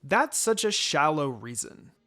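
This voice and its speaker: A man voicing a young female ninja in a story reading, firm and sardonic but secretly soft-hearted. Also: on the high side, sing-song, measured pace, faint music behind, exaggerated voice. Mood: stern, teasing.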